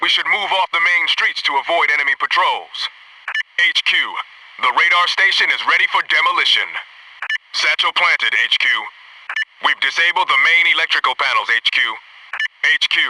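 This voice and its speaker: An actor playing a disciplined, dryly wry SEAL in a military shooter game, giving clipped, businesslike reports. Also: male voice, fairly high pitch, moderate pace, bright, forceful tone, distant mic, slight background noise, low-quality recording. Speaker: special forces teammate reporting to headquarters.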